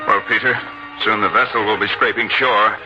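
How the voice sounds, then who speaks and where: Man acting low-voiced, cautious disciple. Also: steady tone, normal speed, close to an everyday voice. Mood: worried. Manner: earnest, dramatic. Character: cautious, worried male disciple, loyal helper. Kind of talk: old-time radio drama acting. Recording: muffled old radio recording, loud music.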